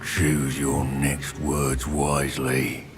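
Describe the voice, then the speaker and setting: An actor voicing a coldly smug, impatient goblin villain in a video game, mock-polite and threatening. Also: male voice, extremely low pitch, flat delivery, drawn-out words, exaggerated voice. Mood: cold menace. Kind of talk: video game cutscene acting. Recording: loud music behind.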